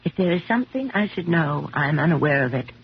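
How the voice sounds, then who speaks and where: Hissy 1970s radio play, indignant woman, firm, direct, animated intonation. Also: low voice, fast, muffled recording. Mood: puzzled, earnest. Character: accused female job applicant, indignant, earnest, defiant. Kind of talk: 1970s radio drama acting.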